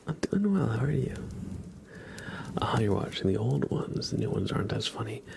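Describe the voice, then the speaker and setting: A man hosting an ASMR livestream, chatting softly to viewers in an unhurried, soothing way with halting phrasing. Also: lowish voice, very animated, gravelly, background noise. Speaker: livestream host.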